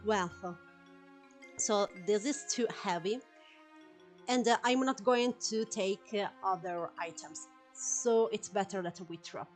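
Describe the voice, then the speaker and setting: A woman with an Italian accent whose first language is Italian, commenting casually on a game stream, hesitant and full of fillers and giggles. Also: mid-range voice.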